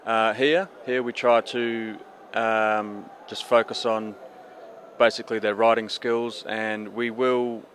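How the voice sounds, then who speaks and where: A man's voice: lowish voice, flat delivery, takes it slowly, a bit bright, a little hiss or hum. A man who trains apprentice jockeys, answering interview questions hesitantly with many fillers.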